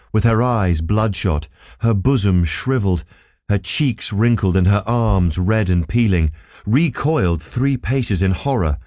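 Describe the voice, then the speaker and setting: A man's voice: on the low side, a little mellow, no room sound, studio quality. A man narrating a classic literary story from a script as an audiobook reader.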